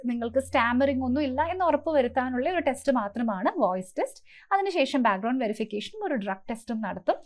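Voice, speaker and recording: A woman speaks in a somewhat high-pitched voice. She explains things step by step as a coaching presenter would, in an instructive way. English terms are mixed freely into her sentences. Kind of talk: explanatory presentation to camera for exam aspirants.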